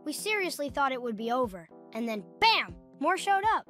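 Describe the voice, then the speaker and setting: A woman playing a chatty, dramatic, excitable young kid hero in a cartoon comic dub. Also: high voice, normal speed, faint music behind. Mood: animated recounting.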